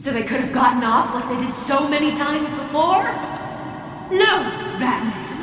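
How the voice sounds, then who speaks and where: An actress playing a vengeful masked villain in a video game, self-righteous and menacing, with a theatrical, taunting delivery. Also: female voice, sits high, expressive, normal speed, a bit bright. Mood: cold righteous fury. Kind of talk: video game cutscene acting. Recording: distant, heavily echoing hall, clear background noise.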